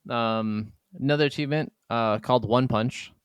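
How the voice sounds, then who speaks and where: A man's voice: medium pitch, moderate pace, clean tone, dry recording, clean studio sound. A man co-hosting a gaming podcast in casual, informal chat about video games, with some casual swearing.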